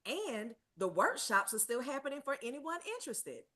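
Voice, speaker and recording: A woman actor playing a cheerful, playful aunt who hosts a town contest, speaking in a deliberate announcer-like way at a table read. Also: sits high, very animated, sharp tone, full character voice. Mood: festive, playful.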